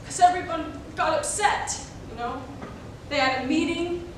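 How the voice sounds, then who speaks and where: A woman playing a withdrawn, troubled eight-year-old boy in staged reader's theater, speaking in a halting, guarded way. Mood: sad, guarded. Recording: echoey room, distant mic, noisy rumble.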